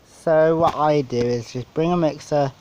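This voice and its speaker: A man giving a casual, unscripted equipment walkthrough as a DJ, rambling and halting, with informal, blunt wording. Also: fairly high pitch, darkish tone, a little hiss or hum, poor audio. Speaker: DJ presenting his PA setup.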